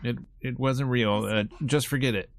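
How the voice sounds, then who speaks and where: A man's voice: mid-range voice, fairly even intonation, normal speed, no echo, clean studio sound, pitched above the performer's own voice, close to an everyday voice. A man voicing a guarded, teasing teenage student actress in a visual novel. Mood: flustered.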